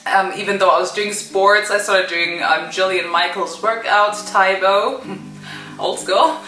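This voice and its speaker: A woman's voice: mid-range voice, unhurried, bright, forceful tone, roomy sound, soft background music, low-quality recording. A young woman from Zimbabwe telling her story in a chatty vlog, full of fillers. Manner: chatty, many fillers and "kind of". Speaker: vlogger.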